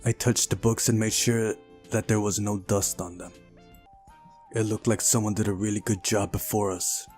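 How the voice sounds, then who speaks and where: A man with a fairly low voice hosts a game playthrough. He reads story narration aloud and slips into casual commentary. Light music sits behind his voice. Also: fairly even intonation, normal speed, darkish tone. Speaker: let's play host. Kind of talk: visual novel read-aloud with casual commentary.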